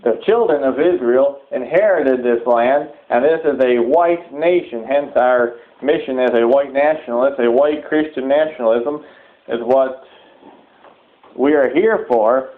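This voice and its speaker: Man preaching over thin, phone-like audio. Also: mid-range voice. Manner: halting, deliberate phrasing with repetition for emphasis. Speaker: preacher giving a public address. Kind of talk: sermon-like extremist political address.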